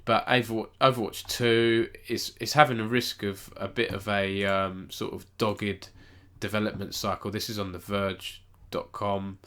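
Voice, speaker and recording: A man with a lowish voice and a stuffy, nasal tone from a cold. He hosts a relaxed live-stream news roundup, rambling, correcting himself and chatting with viewers as he goes. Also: moderate pace, few pauses, smooth. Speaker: esports news host.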